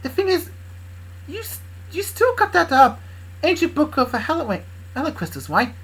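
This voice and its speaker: A woman voicing a bossy young woman who worries over others and snaps into sharp scolding. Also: sits high, very animated, moderate pace, voice pushed up, exaggerated voice, poor audio. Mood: worried. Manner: pleading, then sharp and shouty. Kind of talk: improvised fan story voicing.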